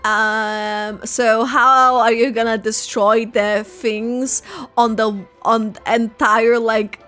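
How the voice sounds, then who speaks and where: A woman's voice: a little high, little pitch movement, slow pace, fairly bright tone. A woman giving casual, sarcastic live reaction commentary over a video game. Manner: sarcastic, giggly. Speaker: reaction streamer. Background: game music.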